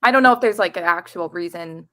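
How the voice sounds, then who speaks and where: A woman's voice: lowish voice, quick pace, bright, forceful tone. A woman co-hosting a casual book chat, reasoning aloud in an informal, tentative way. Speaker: co-host of a book readalong live show.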